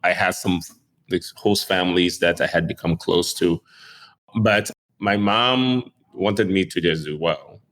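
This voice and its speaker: Deep-voiced Ghanaian-born man, easygoing. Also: unhurried, a bit bright. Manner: chuckles often, fillers like "you know" and "um". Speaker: neurosurgeon guest. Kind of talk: relaxed conversational interview.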